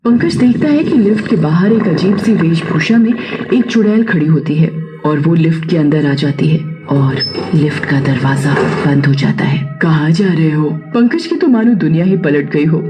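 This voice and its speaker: A man with a high-pitched voice reads a scripted horror story. His delivery is dramatic and builds suspense. Faint music plays underneath. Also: animated, moderate pace, warm tone. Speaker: narrator.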